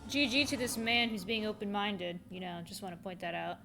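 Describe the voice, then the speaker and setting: A woman's voice: mid-range voice, brisk. A woman hosting a reaction video with casual, wry, blunt commentary. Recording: game music clearly behind.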